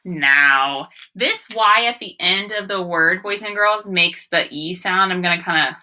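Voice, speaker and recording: A woman's voice: lowish voice, unhurried, pushed and bright. A woman teacher giving a read-aloud phonics lesson, speaking directly to children in an instructional way and sounding words out with emphasis. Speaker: elementary school teacher.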